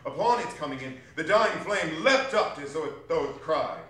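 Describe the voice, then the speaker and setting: A man reading a dramatic story on stage as narrator, emphatic, with deliberate pauses. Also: fairly high pitch, animated, bright, forceful tone. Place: theatre hall at a live reading. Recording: very echoey hall, distant mic, some noise.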